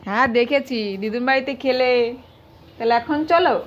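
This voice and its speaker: A woman's voice: high-pitched, brisk, slight background noise. A woman chatting casually with a small child and with viewers, playful and indulgent, often echoing the child's words back. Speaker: family vlogger filming a child at play.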